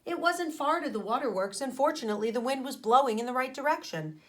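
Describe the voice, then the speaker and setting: A woman teacher reading a storybook and explaining to children in an expressive, emphatic way. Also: high voice, fast talker. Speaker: teacher reading aloud to pupils.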